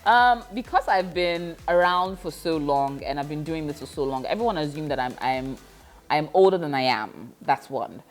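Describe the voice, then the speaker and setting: Woman, thirty, lowish voice, reflective vlog talk, frequent laughs, faint music behind. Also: expressive, normal speed. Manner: reflective, laughs often, many fillers. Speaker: vlogger. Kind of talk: casual confessional vlog.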